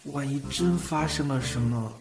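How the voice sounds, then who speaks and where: A man's voice: mid-range voice, moderate pace, noisy background, lightly acted. A man playing a shy, eager young boyfriend in a Chinese audio drama, given to flustered stammering. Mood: nervous, puzzled.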